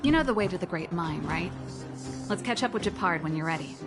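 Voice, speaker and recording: A woman's voice: lowish voice, animated, fairly quick, over music. An actress playing a headstrong, laid-back young woman rocker with a casual, wry manner in a video game. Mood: casual, determined.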